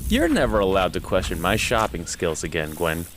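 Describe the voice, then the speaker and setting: A man's voice: low voice, huge pitch swings, moderate pace, noisy background, heavily acted. A man playing a vain, playfully fussy, fashion-proud teenage boy in an audio drama, with a preening, theatrical manner. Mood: smug delight.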